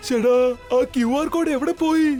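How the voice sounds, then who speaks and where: An actor voicing a fretful, eccentric scientist in a children's cartoon, whiny and exclaiming in a flustered way. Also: male voice, extremely high pitch, moderate pace, darkish tone, soft background music. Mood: exasperated.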